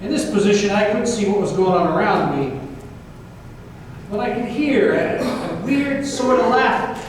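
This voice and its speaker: A man playing a world-weary, sardonic private investigator, narrating his case in a wry, halting way in a radio drama. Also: high voice, animated. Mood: wry, recounting. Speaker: narrator and lead detective. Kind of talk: old time radio drama performance. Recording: very echoey hall, distant mic, faint noise.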